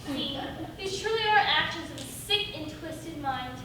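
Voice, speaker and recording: Woman acting as dramatic prosecutor, very high voice, declamatory, stage comedy, strong echo. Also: lively intonation, measured pace. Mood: earnest. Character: earnest, dramatic prosecutor in fairy-tale trial. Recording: distant, very echoey, noisy stage recording.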